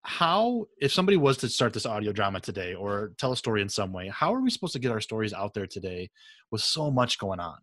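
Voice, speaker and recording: A man hosting a podcast in casual conversation, feeling his way haltingly into each question. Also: lowish voice, very animated, a bit bright, no room sound, studio quality. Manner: halting, circles around questions. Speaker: podcast host, interviewer.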